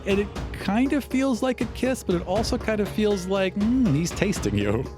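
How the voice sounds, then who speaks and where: A man's voice: high voice, lively intonation, moderate pace. Male game master narrating over music. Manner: conversational, vivid. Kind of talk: descriptive tabletop game narration.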